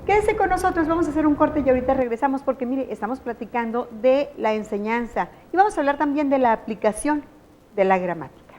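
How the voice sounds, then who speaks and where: A woman's voice: high voice, animated, fast, a little mellow. A woman with a Mexican Spanish accent hosting an educational TV interview, asking long leading questions in a conversational way. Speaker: TV show host.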